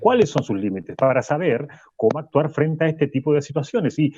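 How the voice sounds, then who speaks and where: A man's voice: fairly high pitch, expressive, moderate pace, darkish tone, dull audio, a little hiss or hum, close to an everyday voice. A man playing a pompous professor. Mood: lofty. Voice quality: lecturing, self-important. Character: pompous, condescending male professor, self-assured. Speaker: sociology professor guest. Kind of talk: radio drama acting.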